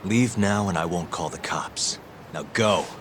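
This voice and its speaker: An actor playing a cool, good-natured investigator hero in a video game drama, speaking casually and with self-assurance. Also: male voice, low-pitched, unhurried, background noise. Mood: cool challenge. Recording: music behind the dialogue.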